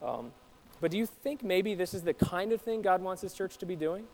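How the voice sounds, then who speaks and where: A man with a fairly high voice gives a sermon in a church. He talks easily and asks his congregation questions. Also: moderate pace, vocal fry, darkish tone, a little hiss or hum. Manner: conversational, asks the audience questions. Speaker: pastor.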